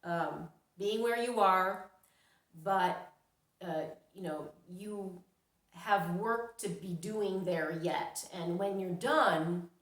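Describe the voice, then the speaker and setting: A middle-aged woman from New York tells a personal story casually and dramatically, acting out her reactions. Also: mid-range voice. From New York, United States. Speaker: storyteller, vlogger. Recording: roomy, echoing sound.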